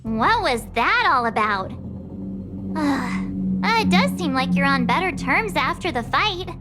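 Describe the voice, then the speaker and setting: A woman's voice: high voice, big pitch swings, slow, over loud music, heavily acted. A woman playing a curious, candid young girl companion in a video game. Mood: puzzled.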